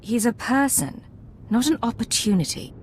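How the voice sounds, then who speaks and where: An actress playing a resolute imperial secret agent in a video game, cool, clipped and controlled. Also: female voice, on the high side, very animated, moderate pace, a little mellow, a little hiss or hum. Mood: cool, firm.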